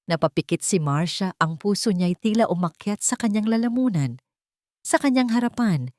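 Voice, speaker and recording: A woman's voice: medium pitch, expressive, normal speed, a little mellow, no echo, studio quality. A woman narrating a scripted story in a dramatic style.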